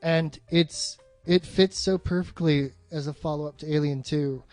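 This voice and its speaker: A man's voice: on the high side, fairly even intonation, moderate pace, a little mellow, dry recording, faint music behind. A man co-hosting a casual film discussion, emphatic and opinionated, swearing freely. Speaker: podcast co-host.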